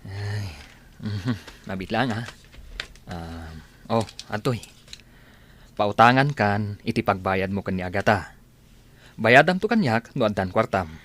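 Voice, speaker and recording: A man's voice: fairly low pitch, animated, a little slow, pauses often, a bit bright. A man with an Ilocano accent playing a kind, patient village husband in a radio comedy drama. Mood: kindly.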